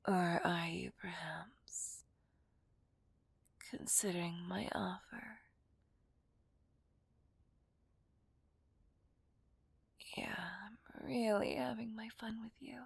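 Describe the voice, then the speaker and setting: A woman playing a seductive, menacing villain kidnapper in a whispered ASMR roleplay, breathy, teasing and possessive. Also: mid-range voice, dry recording, full character voice. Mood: teasing, dominant. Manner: slow, teasing, dominant.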